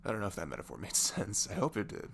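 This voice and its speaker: A man with a very low, velvety voice talks intimately, as if leaving an ASMR comfort message for a friend. His pitch wanders expressively while his delivery stays gentle and halting, often falling to a murmur. Clear noise sits behind him on a homemade recording. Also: sing-song. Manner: gentle, halting, drifts into breathy murmurs, casual teasing.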